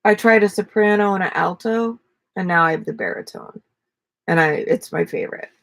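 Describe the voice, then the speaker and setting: A woman in her forties with a raspy voice, chatting casually and rambling on a live stream from a small camper. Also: medium pitch, fairly quick, no echo. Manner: relaxed, rambling, self-deprecating. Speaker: vlogger and ukulele player. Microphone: webcam at desk.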